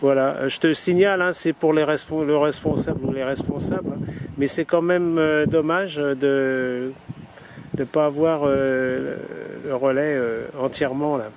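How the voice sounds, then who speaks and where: A man's voice: on the high side, steady tone, a little slow. A man chatting casually as an amateur radio operator, hesitant and repeating himself, often ending phrases with little tags. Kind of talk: casual ham radio chat. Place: outdoors, by road or vehicle. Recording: noisy outdoor recording with traffic.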